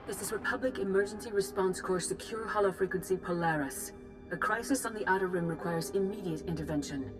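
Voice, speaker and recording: A woman playing a composed, authoritative Jedi master in a video game, speaking in a measured, formal and grave way. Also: mid-range voice, music in the background, natural voice. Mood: grave, urgent. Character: composed, authoritative female Jedi master giving mission briefings. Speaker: military commander briefing volunteers. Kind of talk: formal scripted game dialogue.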